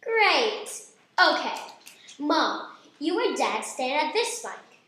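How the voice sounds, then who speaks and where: Woman playing high-voiced bossy big sister, echoey room. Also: big pitch swings, slow pace, bright, forceful tone, heavily acted. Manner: earnest, commanding. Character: anxious, bossy older sister directing a student play. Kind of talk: stage-like amateur radio drama acting.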